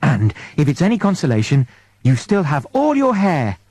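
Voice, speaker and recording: A man's voice: on the high side, wildly swooping pitch, normal speed, a little mellow, breathy edge, no echo, full character voice. A man voicing a concerned female nymph medic in an audiobook, breaking bad news in a careful, hesitant, matter-of-fact way. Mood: gently evasive.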